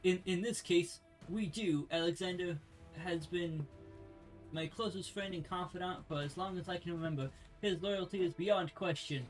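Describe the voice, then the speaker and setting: Man putting on an imperious high-pitched noblewoman. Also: normal speed, faint music behind, close to an everyday voice, low-quality recording. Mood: earnest, resolute. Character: imperious young noblewoman vowing to seize her throne. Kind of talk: amateur video game dialogue read-aloud.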